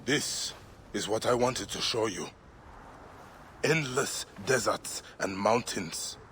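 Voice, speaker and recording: An actor playing a weary, honourable Egyptian warrior in a video game, speaking in a solemn, deliberate way. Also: male voice, fairly low pitch, a bit bright, a little hiss or hum. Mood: solemn, inviting. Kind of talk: dramatic video game acting.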